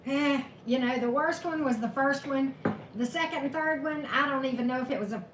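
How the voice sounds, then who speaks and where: A woman with a high, slightly hoarse voice talks casually as a tarot reader on a live stream, greeting her viewers one by one. She is chatty and laughs at her own corny jokes. The sound has a roomy echo and clear background noise. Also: fairly quick. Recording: echoey room with steady background noise.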